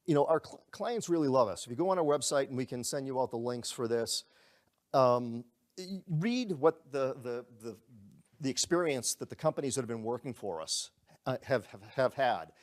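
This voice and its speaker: Upbeat man giving a sales pitch. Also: expressive, moderate pace. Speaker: company presenter. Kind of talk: live sales presentation. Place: presenting in a room to a group.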